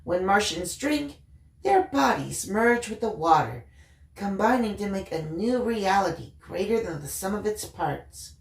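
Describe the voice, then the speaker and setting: Serene, mysterious young female bookworm, voiced by a woman reader; audiobook dialogue, expressive intonation, roomy sound. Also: medium pitch, measured pace. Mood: serene, cryptic. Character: Michaela, serene enigmatic bookish young woman.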